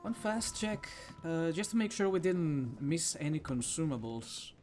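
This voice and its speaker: Higher-pitched, lively male gamer. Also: unhurried, slight background noise. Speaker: gamer commentating on playthrough. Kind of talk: casual game commentary.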